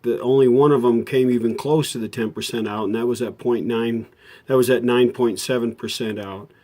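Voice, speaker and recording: Man, American accent, dark mellow tone, lowish pitch, casual repair narration, folksy, explanatory. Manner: plain-spoken, folksy, explanatory. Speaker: host, electronics restorer.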